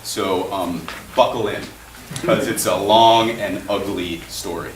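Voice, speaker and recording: A man, a public defender, giving a relaxed, plain-spoken public lecture. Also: deep voice, slow pace, slight background noise. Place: school hall. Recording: echoey room, distant mic.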